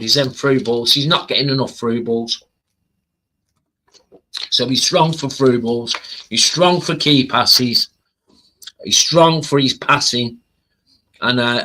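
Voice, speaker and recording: A man with a bright, forward voice hosts a casual football livestream. He talks through player stats conversationally, hesitating and repeating himself as he goes. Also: mid-range voice, low-quality recording. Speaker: football fan channel livestream host.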